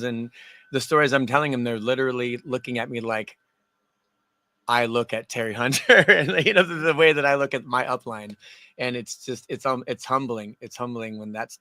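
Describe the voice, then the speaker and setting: A man with a loud voice, a DJ guest in a radio studio, telling stories with laughs and emotion. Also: medium pitch, no echo. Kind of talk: casual radio interview.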